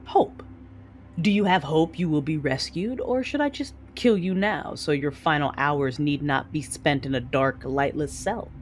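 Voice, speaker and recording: A woman's voice: sits low, measured pace, slight background noise. A woman playing a brooding, menacing Sith lord, narrating her own story in an audio drama. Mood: taunting. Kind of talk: first-person audio drama narration and dialogue. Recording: dramatic music underneath.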